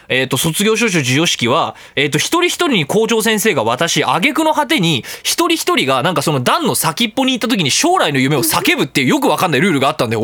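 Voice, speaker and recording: A man in his thirties hosting a casual, comedic solo radio talk, rambling in an animated, jokey way with exclaimed asides. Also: high-pitched, no echo. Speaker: radio show host.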